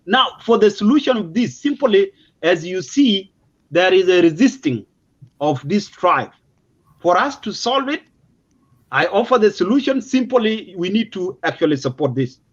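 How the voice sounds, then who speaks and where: High-pitched South Sudanese man, emphatic. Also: sing-song, moderate pace, slightly rough. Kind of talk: unscripted explanatory political discussion. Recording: dull, lo-fi audio.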